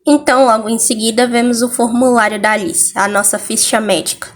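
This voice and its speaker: High-voiced Brazilian woman narrating a recap. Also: steady tone, a little fast, slight background noise. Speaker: video essayist. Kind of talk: scripted video recap and commentary.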